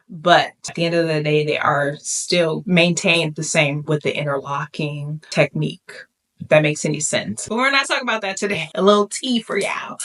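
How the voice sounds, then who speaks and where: A sassy, playful woman talks straight to her viewers in a relaxed vlog chat, calling them "baby" often. Her voice sits on the low side for a woman. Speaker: beauty vlogger. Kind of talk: casual chat to camera.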